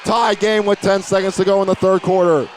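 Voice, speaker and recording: A man calling live high school basketball play-by-play as a broadcaster from inside a gym. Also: flat delivery, a little fast. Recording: faint gym noise behind the voice.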